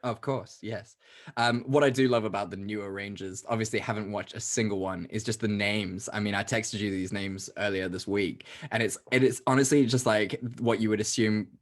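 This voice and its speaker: A young man co-hosting a movie podcast in casual chat, laughing often and tossing in quick asides. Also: on the low side, steady tone. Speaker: podcast co-host.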